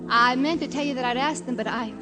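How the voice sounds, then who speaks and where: A woman's voice: high voice, fast talker, close to an everyday voice. An actress playing a timid, flustered young woman in an old-time radio drama, speaking hesitantly and apologetically. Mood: chatty, uneasy. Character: nervous young woman, timid sister of a domineering brother. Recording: vintage radio recording with loud music.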